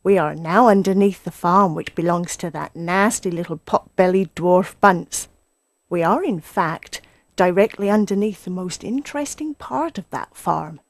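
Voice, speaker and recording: A woman's voice: fairly low pitch, measured pace, no room sound. A woman voicing a sly, confident male fox in a children's story, suave and assured. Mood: smug.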